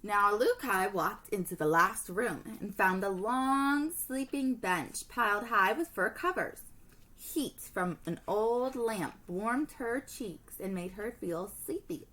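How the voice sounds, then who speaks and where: A woman teacher reading a storybook aloud to children in an expressive storytelling manner. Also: medium pitch, slow pace, poor audio.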